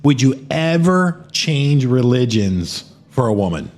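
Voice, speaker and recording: A man's voice: mid-range voice, big pitch swings, takes it slowly, darkish tone. A man hosting a casual podcast interview, informal and emphatic, chiming in with agreement and questions. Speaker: podcast host.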